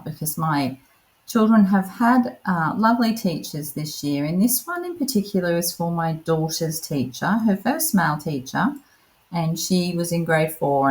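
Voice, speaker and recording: Woman, craft vlogger, relaxed chatty voiceover, slightly low voice, friendly asides to viewers. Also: rough recording. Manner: chatty, addresses viewers as "lovelies". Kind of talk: casual voiceover narration of crafting.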